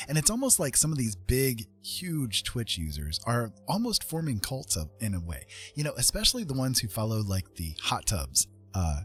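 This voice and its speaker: A man's voice: mid-range voice, a bit bright, husky. A man chatting casually on a livestream in a rambling, playful, self-mocking way.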